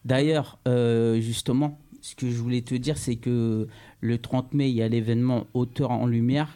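A man's voice: mid-range voice, steady tone, moderate pace, warm tone. A man hosting a casual radio discussion, talking with many hesitations and restarts. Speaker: radio host.

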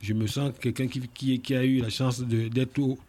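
A man's voice: mid-range voice, flat delivery, normal speed, mellow. A man in his fifties with a Cameroonian French accent, a musician guest talking casually, often restarting and repeating words. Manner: hesitant, many restarts and repeats. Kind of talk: casual interview.

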